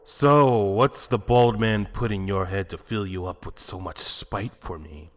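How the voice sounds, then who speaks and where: Man voicing a deep, arrogant anime villain. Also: measured pace, natural voice. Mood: mocking, suspicious. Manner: taunting, theatrical. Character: arrogant male time-destroying villain, mocking and menacing. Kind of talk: anime fan drama voice acting.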